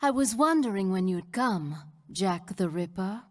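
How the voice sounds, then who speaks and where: A woman's voice: mid-range voice, animated, a little slow, big-room sound, natural voice. A woman voicing a seductive, cold-blooded mercenary villain in a video game, with a French accent and languid, taunting delivery. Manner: languid, taunting, self-assured. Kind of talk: dramatic video game voice acting.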